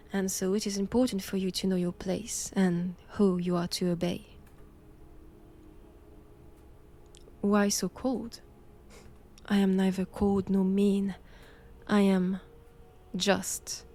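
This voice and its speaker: A woman performs a stern nun who hunts vampires, in a dramatic roleplay audio. Her French accent shapes slow, deliberate sentences at a medium female pitch. She is formal, cold and commanding, sure of her own righteousness. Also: flat delivery, darkish tone, natural voice.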